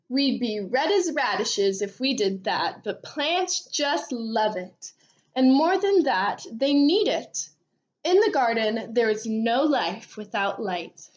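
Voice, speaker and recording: A woman reading a children's storybook aloud expressively, with animated delivery and drawn-out phrase endings. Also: fairly high pitch. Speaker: read-aloud storybook reader. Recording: dull, lo-fi sound.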